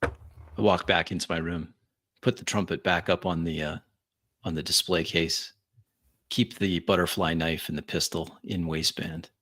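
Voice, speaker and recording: A man's voice: sits low, fairly even intonation, normal speed, a bit bright, no echo, studio quality. A man narrating his character's actions in the first person during a tabletop roleplay.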